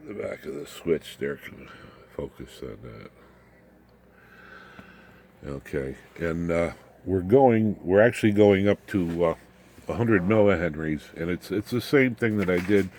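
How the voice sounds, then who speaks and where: A man giving an informal, unscripted electronics demonstration, haltingly, muttering asides as he works with his hands. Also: low voice, a little mellow, slight background noise. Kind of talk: informal unscripted technical show-and-tell.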